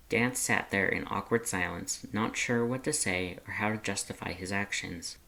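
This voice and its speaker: A narrator reading scripted fan fiction aloud in an expressive, dramatic way. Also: deep voice, creaky, poor audio.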